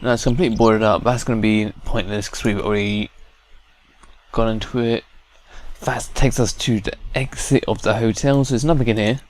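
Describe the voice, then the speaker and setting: A man's voice: lowish voice, steady tone, normal speed, darkish tone. A man giving informal, unscripted let's play commentary, thinking aloud as he goes. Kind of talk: casual unscripted game commentary. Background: game music.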